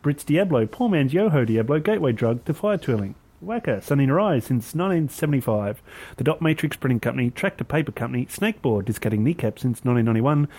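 A man's voice: mid-range voice, expressive, fast, darkish tone, slightly rough. An Australian man hosting a cricket podcast, joking with his mates and rattling off mock introductions and lists. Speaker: podcast host. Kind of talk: casual banter with mates. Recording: online call audio.

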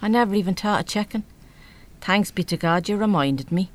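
A woman's voice: medium pitch, brisk, close to an everyday voice. An actress playing a weary, no-nonsense older working-class mother in a live radio play, with an Irish accent and a blunt, wry, grumbling manner. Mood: gruff, exasperated.

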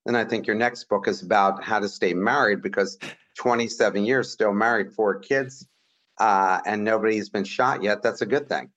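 A man hosts a business interview show in a lowish voice, eager and enthusiastic but hesitant, full of fillers. His sound is dull and lo-fi, like a remote line. He praises and asks in a loose, chatty way. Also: moderate pace, fairly bright tone. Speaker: podcast host. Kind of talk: interview host chat.

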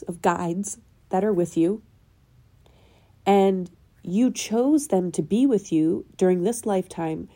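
Nasal-sounding woman with a dark mellow voice. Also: medium pitch. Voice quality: slightly nasal. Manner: earnest, probing rhetorical questions. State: getting over a cold. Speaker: podcast host. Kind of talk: spiritual self-help monologue.

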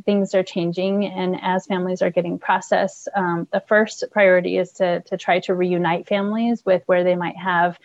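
Gravelly woman, agency staffer, hesitant and full of fillers. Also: on the low side, darkish tone, dry recording, dull audio. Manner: hesitant, many ums and restarts. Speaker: refugee resettlement staff member. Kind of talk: news interview.

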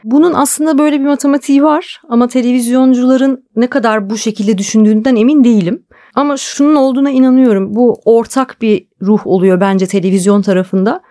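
A woman's voice: on the high side, fast, dark tone. A woman speaking as an interview guest, explaining her thoughts in a conversational, reflective style. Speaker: interview guest, television drama producer.

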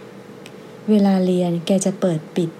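A woman's voice: takes it slowly, mellow, a little hiss or hum, close to an everyday voice. A woman voicing an exasperated, indignant female primary school teacher in a storybook, complaining in an emphatic but formally polite way.

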